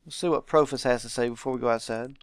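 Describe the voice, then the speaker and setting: A man's voice: medium pitch, fairly even intonation, fast, clear, resonant tone, dry recording. A man hosting a visual novel let's play, reading game text aloud and adding informal commentary.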